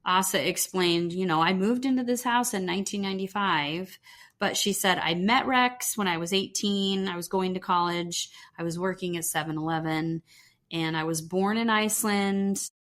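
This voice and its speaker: A woman's voice: lowish voice, normal speed, fairly bright tone. A woman co-hosting a podcast, chatting casually and conversationally as she recaps television. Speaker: podcast co-host.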